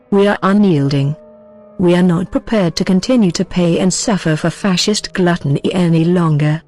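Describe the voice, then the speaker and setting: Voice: mid-range voice, a little mellow. Formal narrator over music. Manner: formal, solemn declamation. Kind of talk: scripted political address narration. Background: background music.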